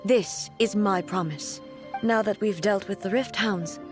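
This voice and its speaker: A woman voicing a regal warrior ruler in an anime video game, formal, measured and solemn. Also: mid-range voice, close to an everyday voice. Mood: solemn, resolute. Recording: faint music behind the voice.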